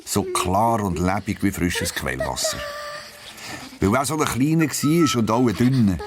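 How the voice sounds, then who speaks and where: Low-voiced storyteller man. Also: background noise. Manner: expressive storytelling, drawn-out emphasis. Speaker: narrator. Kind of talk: children's audio story narration.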